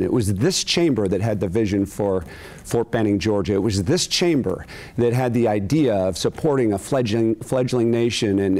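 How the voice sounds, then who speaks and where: A man in his fifties, an army general, delivering a formal acceptance speech to camera in an earnest, deliberate manner with emphatic repetition. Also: medium pitch, a little mellow. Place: furnished room indoors, seated in an armchair.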